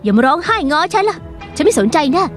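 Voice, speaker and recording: A blunt, fiercely loyal young friend who scolds fast and hotly. Her voice sits very high and the words tumble out quickly. Soft music plays behind her. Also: sing-song. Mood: cold. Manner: heated, scolding. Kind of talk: dubbed drama acting.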